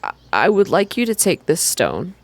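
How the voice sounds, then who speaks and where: A woman's voice: lowish voice, wildly swooping pitch, creaky, no room sound, full character voice. Woman acting a serious, mysterious forest guide man. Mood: earnest request. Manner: serious, deliberate, halting. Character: earnest, mysterious, slender outdoorsman guide in forest.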